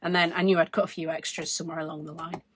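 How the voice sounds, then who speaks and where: A woman in her forties, hoarse from losing her voice, chatting through a craft demonstration at her desk. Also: deep voice, flat delivery, rapid-fire, slight background noise. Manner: chatty, explains as she works. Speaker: craft tutorial host. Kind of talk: casual step-by-step crafting demonstration. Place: craft desk indoors, overhead camera.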